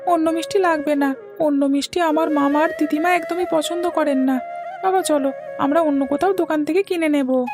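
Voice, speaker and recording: A woman's voice: high voice, steady tone, moderate pace. A woman voicing an eager, chatty young schoolboy in a cartoon, pleading and petulant. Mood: insistent. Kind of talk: Bengali cartoon story dialogue. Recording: music behind the voice.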